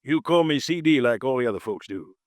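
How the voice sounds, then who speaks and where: A folksy, easily riled insurance agent in his fifties, voiced by a man in an old radio drama. His pitch swings widely as he talks. The sound is dry and close. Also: expressive, brisk, dry recording, natural voice. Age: 50s. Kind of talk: 1950s radio drama acting. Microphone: close studio mic, dry.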